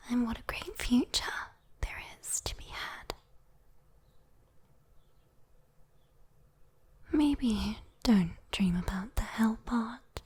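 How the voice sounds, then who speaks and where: A British woman voicing a sweet, teasing, doting demon girl in a soothing ASMR bedtime roleplay, whispering gently with coy endearments. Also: on the high side, expressive, a little slow, breathy edge, full character voice. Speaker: ASMR voice performer.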